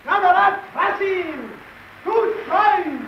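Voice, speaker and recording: A man playing a jubilant Italian castaway in a radio play, crying out drawn-out greetings. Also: extremely high pitch, animated, full character voice. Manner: drawn-out cheering cries. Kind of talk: German radio play acting. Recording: distant, echoey, muffled, crowd and noise.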